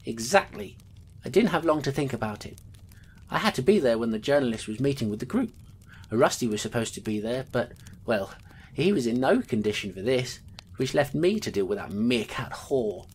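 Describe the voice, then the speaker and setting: A woman playing a loyal, dogged female constable who narrates her case in an earnest, brisk way. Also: rumbling low, expressive, darkish tone, slight background noise.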